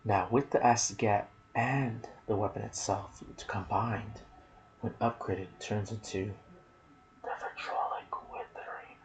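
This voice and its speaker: A man narrating game lore in ASMR style, soft and breathy, often slipping toward a whisper, musing aloud in casual asides. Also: fairly low pitch, fairly even intonation, a little slow, noisy background. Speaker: gaming video narrator.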